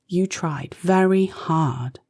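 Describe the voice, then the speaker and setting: Woman as a patient, slow-spoken old grandfather. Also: lowish voice, a little mellow. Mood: proud. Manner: measured, reassuring.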